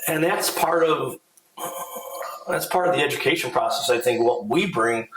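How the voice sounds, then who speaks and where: A man's voice: medium pitch, normal speed, fairly bright tone. A middle-aged man co-hosting a financial podcast, talking casually and rambling with many "you know" fillers. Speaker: financial advisor, podcast co-host. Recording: echoey room.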